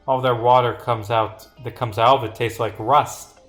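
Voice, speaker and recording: A man's voice: mid-range voice, fairly even intonation, moderate pace, fairly bright tone, faint music behind, close to an everyday voice. A man voicing a defensive, evasive young male stage performer from a visual novel, protesting under questioning.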